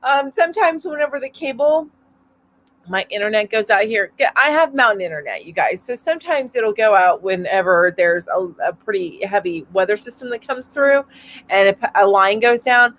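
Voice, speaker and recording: A woman's voice: mid-range voice, expressive, normal speed, a bit bright. A middle-aged woman hosting a casual live-stream chat from home, reading out viewer comments in a chatty, rambling way, a little stuffy from allergies. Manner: chatty and rambling, with frequent fillers and laughs. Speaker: host of a weekly live chat. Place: home, mountain area.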